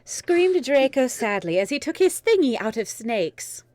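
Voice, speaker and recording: A woman's voice: sits high, very animated, a little slow, darkish tone, no room sound. A woman reading fan fiction dramatically and chatting casually with her co-readers between lines. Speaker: reader in a livestreamed group fanfiction reading.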